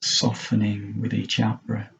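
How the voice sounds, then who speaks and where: A man leading a guided mindfulness meditation as a teacher, speaking gently and unhurriedly in short phrases with long pauses. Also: lowish voice, completely flat delivery, vocal fry, husky.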